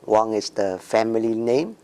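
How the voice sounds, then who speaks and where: Slow-speaking man in his sixties, gravelly. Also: fairly low pitch, warm tone. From Macau and Hong Kong. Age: 60s. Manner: slow, hesitant, many ums and restarts. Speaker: interviewee. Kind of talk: oral history interview.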